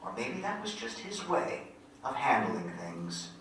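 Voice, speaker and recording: A man plays a folksy rural American storyteller with a bright, forceful voice. His monologue is heard from far off in a very echoey room. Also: medium pitch, huge pitch swings, unhurried, a little hiss or hum, exaggerated voice. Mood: wry, wondering. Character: folksy country boy narrator recalling events vividly. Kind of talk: dramatic monologue in staged reading. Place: indoor venue, staged reading.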